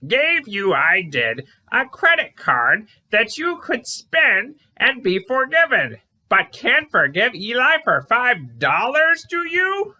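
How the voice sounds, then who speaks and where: A woman's voice: on the high side, wildly swooping pitch, slow, pushed and bright, pitched above the performer's own voice, exaggerated voice. A woman voicing an odd, hairy fairy godmother in a puppet show, bossy but loving, speaking emphatically in backwards word order. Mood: scolding.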